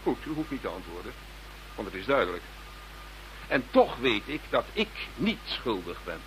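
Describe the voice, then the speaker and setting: Government minister for radio drama: formal, eloquent, insistent, forward bright tone, faint background noise. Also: male voice, medium pitch, sing-song, normal speed. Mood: exasperated, insistent. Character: Dutch government minister protesting his innocence to detectives. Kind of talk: radio play acting. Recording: slightly noisy radio drama recording.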